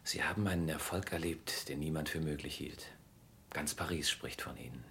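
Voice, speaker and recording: An actor playing a courtly, cynical gentleman humbled by adoration in a German radio play, formal, measured and confessional. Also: slight background noise, exaggerated voice. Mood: tender confession. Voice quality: very deep, gravelly.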